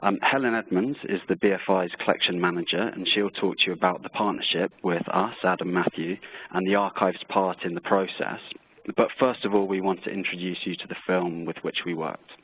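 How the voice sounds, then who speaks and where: A man hosting an online presentation and introducing speakers in a semi-scripted way, with a husky voice. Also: low-pitched, steady tone, a little fast. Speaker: webinar host introducing speakers. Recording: muffled, band-limited online call audio.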